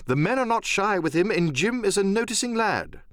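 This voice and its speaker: A man playing a genteel, level-headed gentleman doctor in an audiobook drama, speaking in a courteous, measured manner. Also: sits high, sing-song, no echo, studio quality, pitched above the performer's own voice. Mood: assured. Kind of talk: audiobook radio-play character acting.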